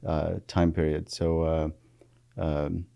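A low, gravelly man in his thirties, a therapist guest in a podcast studio, with a dark, mellow tone. He talks hesitantly, pausing on fillers and restarting his sentences. The sound is close and dry on a boom mic. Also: flat delivery, dry recording. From Iraq. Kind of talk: reflective podcast interview. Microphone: studio mic on boom arm.

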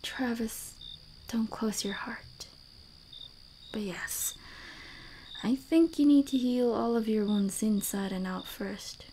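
An actress playing a hurt, caring but firm young woman in an emotional audio drama, breathy and earnest, often near whispering. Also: on the high side, slow, warm tone, a little hiss or hum. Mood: gentle, earnest.

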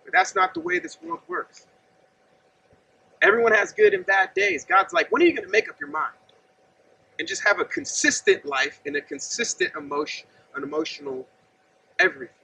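A man in his thirties preaches in an earnest, conversational way. His voice sits very high and is heavily gravelly, with a bright, tense edge and lively swings in pitch. He stands outdoors some distance from the camera, with a faint open-air hum behind him. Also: normal speed, pauses often. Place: outdoors by a gravel lot and fence.

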